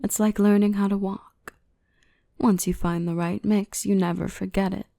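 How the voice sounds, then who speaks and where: A woman playing a gentle, protective dark elf mistress in a soothing, reassuring ASMR roleplay, often whispering. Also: medium pitch, little pitch movement, moderate pace, gravelly, no room sound, studio quality, natural voice. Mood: tender, praising.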